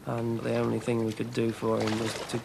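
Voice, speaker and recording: A man in his thirties recounts his experience in an interview. His voice is even and very gravelly, with clear noise behind it. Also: medium pitch, brisk. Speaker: cave diver, interviewee. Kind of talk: interview recollection.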